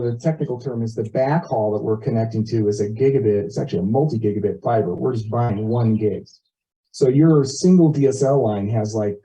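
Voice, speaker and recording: A man's voice: mid-range voice, warm tone. A man giving an informal, folksy presentation to neighbours at a meeting, explaining things with plain comparisons. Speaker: community board member presenting at a meeting. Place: neighbourhood meeting, relayed over video call. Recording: muffled, distant microphone, meeting audio.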